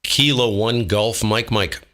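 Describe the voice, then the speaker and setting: Bright-voiced American man, low pitch. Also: takes it slowly. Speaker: amateur radio operator, video host. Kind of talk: casual ham radio contest contacts and side comments.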